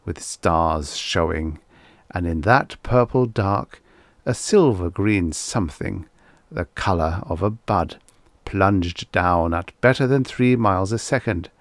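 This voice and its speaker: A man narrates a scripted story in a measured, expressive way. His voice sits on the low side with lively movement in pitch, and the recording is dry and close. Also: no room sound. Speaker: audiobook narrator.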